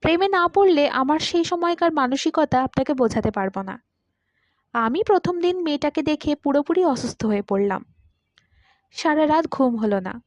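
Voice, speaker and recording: A woman's voice: high-pitched, no room sound, muffled recording. A woman voicing a courteous, talkative man of about forty who confides his story wistfully in an audiobook. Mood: lovelorn, anguished. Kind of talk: audiobook character dialogue.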